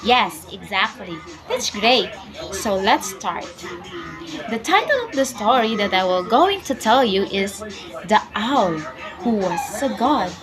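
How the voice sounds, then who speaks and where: A young woman performing a bedtime story for children in a theatrical, deliberate manner. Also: mid-range voice, sing-song, over music. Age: young adult.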